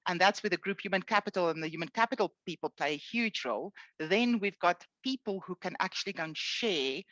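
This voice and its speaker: A middle-aged South African woman, Afrikaans her first language, chatting freely and warmly as a podcast guest. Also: fairly low pitch, expressive, brisk, no echo, muffled recording. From Eastern Cape, South Africa. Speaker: guest, HR executive. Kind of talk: podcast interview.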